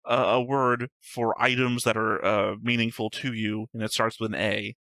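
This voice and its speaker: A man's voice: fairly low pitch, little pitch movement, normal speed, sharp tone, dry recording. A man co-hosting an anime reaction show, rambling and arguing his points while searching for words. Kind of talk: casual discussion.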